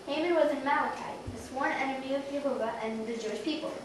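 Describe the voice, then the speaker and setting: A woman's voice: high-pitched, fast talker. A woman reading scripted story narration for a children's puppet show. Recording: very echoey room, distant, noisy.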